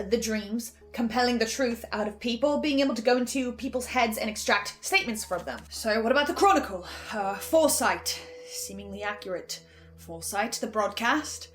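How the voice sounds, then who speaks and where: A woman playing an anxious psychic archivist who thinks aloud haltingly in an audio horror drama monologue. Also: a little high, natural voice. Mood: thoughtful worry. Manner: hesitant, searching, stammering monologue.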